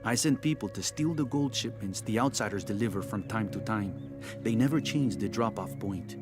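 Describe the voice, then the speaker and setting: A man playing a proud cast-out gambler turned rebel in a video game cutscene, speaking in a measured, philosophical, teasing way. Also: on the low side, lightly acted. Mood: earnest. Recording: music under the voice.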